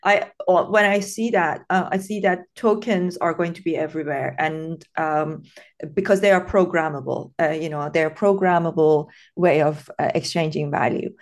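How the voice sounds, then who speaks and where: Low-voiced woman from Iran, hesitant. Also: steady tone, normal speed. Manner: thoughtful, hesitant, many fillers and restarts. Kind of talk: casual podcast interview.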